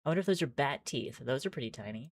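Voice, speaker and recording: Female game streamer, low register, chatty reader who thinks aloud; slightly roomy sound, faint music underneath. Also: expressive, fast talker. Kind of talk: game playthrough commentary, reading aloud.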